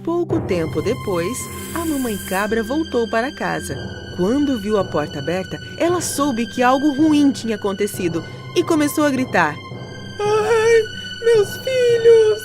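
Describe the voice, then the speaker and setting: A woman narrates a children's tale in a high, expressive voice. Her delivery is dramatic and lively, rising and falling widely, with music clearly behind her. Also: normal speed. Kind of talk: scripted storybook narration.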